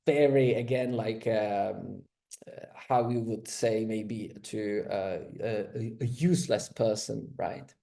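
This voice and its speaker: A man whose first language is Czech, giving an academic talk in slow, hesitant speech full of fillers and restarts. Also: fairly low pitch, steady tone, creaky, warm tone. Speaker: lecturer.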